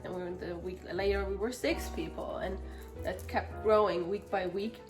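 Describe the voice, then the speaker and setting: A woman's voice: mid-range voice, fairly even intonation, moderate pace, a little mellow, faint music behind, rough recording. A woman in her thirties from Germany, with a German accent, talking casually as an interview guest and now and then searching for words. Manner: chatty, laughs often, searches for words. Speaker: guest, nonprofit founder.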